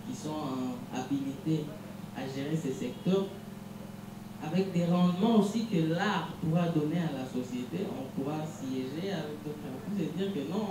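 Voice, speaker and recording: A young man from DR Congo, a musician, giving measured, reflective interview answers with frequent restarts. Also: fairly high pitch. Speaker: musician guest. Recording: echoey room from far off, noisy hum.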